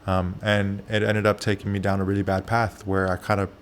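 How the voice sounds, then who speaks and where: A man who grew up in Japan, a barber, answering interview questions candidly, with soft pauses and frequent fillers. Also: lowish voice, completely flat delivery, slight background noise.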